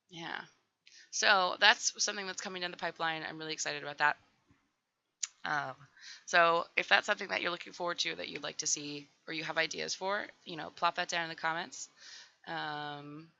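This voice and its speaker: A woman with a raspy voice, just up and getting over a cold, rambling casually through a voiceover with lots of "um" and "like". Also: low voice, sharp tone, lo-fi sound, slight background noise. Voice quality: raspy with vocal fry. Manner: rambling, full of "um" and "like". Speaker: Sims builder doing a voiceover.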